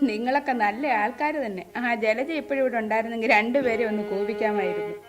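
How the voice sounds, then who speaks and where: An actress playing a young married daughter in a radio play, talking in a lively, teasing way. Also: female voice, fairly high pitch, fast talker, music in the background, close to an everyday voice. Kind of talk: 1970s radio drama acting.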